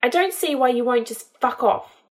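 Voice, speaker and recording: A bitter young witch played by a woman audiobook reader, drunk and tearful. She lashes out defiantly and emotionally in a raised, high voice. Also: moderate pace, natural voice. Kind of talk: dramatised audiobook narration.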